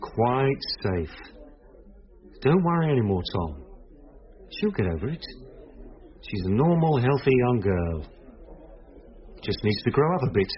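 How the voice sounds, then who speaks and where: Calm detective with expressive, varied pitch. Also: male voice, a little slow, pauses often, highs cut off. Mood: gentle, reassuring. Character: calm, reassuring male police detective explaining a case. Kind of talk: naturalistic radio drama acting.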